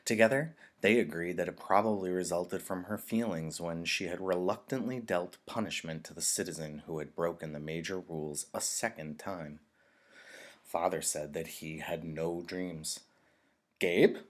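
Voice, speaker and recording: A man's voice: low voice, moderate pace. A man narrating a novel as a teacher reading aloud to students. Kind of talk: storybook narration, read aloud.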